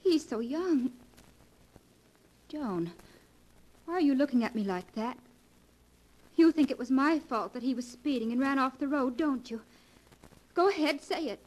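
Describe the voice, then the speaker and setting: A woman's voice: sits high, expressive, measured pace, long pauses, a little mellow, poor audio. An actress in an old radio play voicing a headstrong, defiant young woman. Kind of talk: 1940s radio acting.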